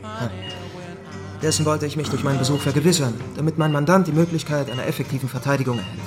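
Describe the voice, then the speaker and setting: Ein Schauspieler spielt in einem Krimihörspiel einen jungen Anwalt, der förmlich und bedächtig im Auftrag von Gangstern unterschwellig droht. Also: male voice, on the high side, steady tone, natural voice. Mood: coolly menacing. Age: young adult. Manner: formal, deliberate, veiled threat. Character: young male lawyer, coolly menacing mob go-between. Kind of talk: crime radio drama acting. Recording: loud music behind.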